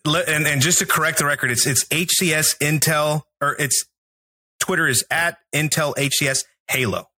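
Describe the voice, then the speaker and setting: A Texan man talks loosely and chattily as a podcast co-host. His tone is bright and a little tense, and the mic is close and dry. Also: fairly high pitch, normal speed, dry recording. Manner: chatty, full of restarts and fillers. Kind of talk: podcast interview.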